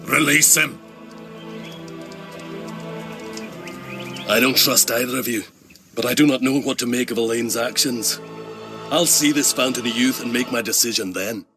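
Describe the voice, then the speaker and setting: An actor playing a gruff, determined knight in a video game, blunt and terse. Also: male voice, medium pitch, normal speed, lightly acted. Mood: doubtful, firm. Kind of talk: video game cutscene acting. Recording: loud music behind. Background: music.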